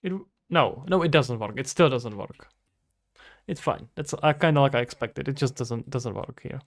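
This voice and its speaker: A man's voice: mid-range voice, moderate pace. Young man thinking aloud. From Czech Republic. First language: Czech. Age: 20s. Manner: thinking aloud, talking to himself and viewers. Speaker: streamer, indie game developer. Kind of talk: casual livestream chat while working. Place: indoors at a computer.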